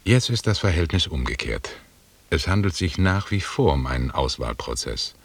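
A British expedition commander in a radio drama. His voice is extremely deep and rough-edged. He speaks with formal, rhetorical precision and stern, cold authority. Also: sing-song, full character voice. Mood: grave, calculating. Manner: measured, formal, rhetorical speech. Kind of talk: German radio drama acting.

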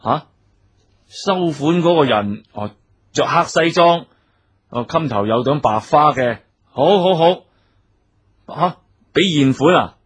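An actor playing an anxious, easily flustered wealthy husband in a Cantonese radio drama, agitated and given to sighing and stammering. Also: male voice, on the high side, lively intonation, takes it slowly, long pauses, husky, full character voice. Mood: tense, guarded.

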